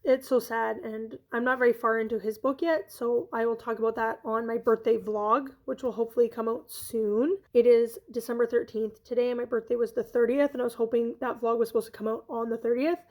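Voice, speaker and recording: A woman in her thirties chatting enthusiastically in a casual vlog, her voice hoarse from being ill. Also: on the high side, little pitch movement, a little fast, few pauses, a little mellow. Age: 30s. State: hoarse from illness. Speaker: vlogger.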